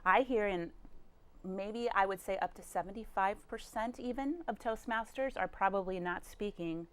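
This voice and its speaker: A woman's voice: mid-range voice, moderate pace, a bit bright. A woman hosting a TV studio interview, leading her guest with affirming questions about the voice. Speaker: TV show host.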